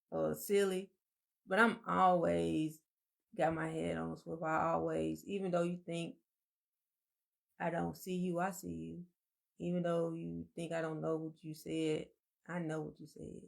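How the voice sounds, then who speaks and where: A soft-spoken woman, co-hosting a casual live-stream chat and telling her story in a meandering, reflective way. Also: on the low side, creaky.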